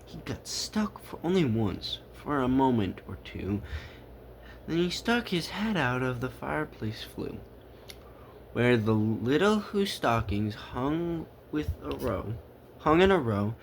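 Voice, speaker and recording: A man's voice: mid-range voice, big pitch swings, slight background noise. A man reading a rhyming children's story aloud theatrically, leaning into words with dramatic emphasis. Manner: dramatic, drawn-out emphasis and repetition.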